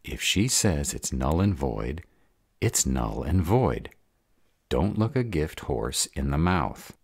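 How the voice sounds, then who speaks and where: Very deep, gravelly, hot-tempered were-dragon man acting. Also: big pitch swings, takes it slowly, a little mellow, dry recording, clean studio sound, full character voice. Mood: exasperated. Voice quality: gravelly, raspy. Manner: growling, impatient, blunt. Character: gruff, hot-tempered male were-dragon, fiercely protective of his mate. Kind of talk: audio roleplay drama acting.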